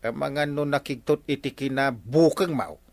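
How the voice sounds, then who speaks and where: A man's voice: medium pitch, normal speed, gravelly, no room sound, natural voice. A man playing a blustery, comic older village captain with an Ilocano accent, full of exclamations in a radio comedy drama. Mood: teasing, incredulous. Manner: animated, exclamatory.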